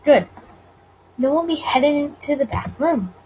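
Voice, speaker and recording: A gruff, suspicious speakeasy bouncer played by a woman from a visual novel. He talks in curt orders with a bossy edge and swinging intonation, over faint noise. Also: sits high, lively intonation, unhurried, darkish tone, pitched above the performer's own voice, low-quality recording.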